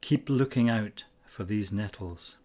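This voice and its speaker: A man narrating a scripted guided meditation in a measured, instructional way with pauses between phrases. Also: fairly low pitch, darkish tone.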